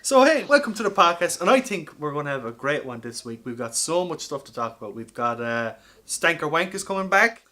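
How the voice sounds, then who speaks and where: A man with a fairly high voice hosts a casual podcast. He chats in an animated, teasing style and swears freely. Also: a little fast, hardly any pauses. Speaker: podcast host. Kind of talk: casual comedic podcast chat.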